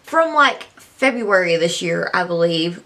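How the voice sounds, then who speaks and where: A woman's voice: lowish voice, lively intonation, a little slow, fairly bright tone. Chatty young woman with scratchy voice. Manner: chatty, rambling, enthusiastic. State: scratchy voice from allergies. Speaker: beauty vlogger. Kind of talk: casual talk to camera. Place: small indoor room.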